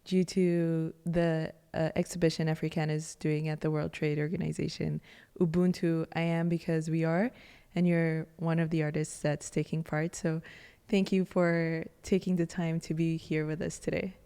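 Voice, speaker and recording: Woman interviewer, friendly, laughs easily, low voice, long reverb. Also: level tone, moderate pace, hardly any pauses, mellow, clean tone. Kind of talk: interview questions.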